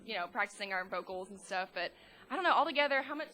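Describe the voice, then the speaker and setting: A woman's voice: mid-range voice, expressive, fast talker, pushed and bright. A young woman student performer chatting bubbly in an interview, laughing often. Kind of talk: casual interview.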